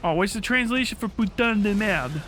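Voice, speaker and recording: A man's voice: sits high, lively intonation, normal speed, a bit bright. A man giving casual, crude and sardonic commentary while livestreaming a video game. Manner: crude, heavy swearing, sardonic asides. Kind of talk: casual gaming stream commentary. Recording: game music behind the voice. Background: video game music.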